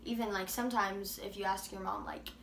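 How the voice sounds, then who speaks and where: A woman's voice: medium pitch, very animated, fairly quick, a bit bright, slight background noise. A teenage girl with a cold chatting casually as co-host of a video, full of filler "likes". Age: teens. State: has a cold. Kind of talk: casual chat. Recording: echoey room.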